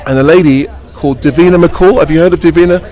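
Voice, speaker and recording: Man, pantomime actor, chatty, rambling storyteller, playful asides, higher-pitched voice. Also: steady tone, quick pace, a little mellow, faint music behind. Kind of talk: casual interview answers.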